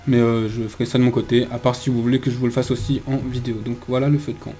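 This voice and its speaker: A man's voice: mid-range voice, level tone, fast talker, dark tone, soft background music. A man giving casual video game commentary, thinking aloud in a hesitant way. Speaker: video game let's player. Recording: faint background noise.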